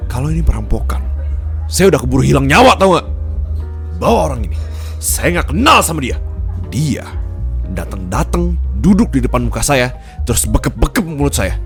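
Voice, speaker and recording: A man's voice: mid-range voice, sing-song, normal speed, pauses often, soft background music. A man playing a stern, haughty young boss who also teases as a boyfriend, in an Indonesian ASMR roleplay. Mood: irate.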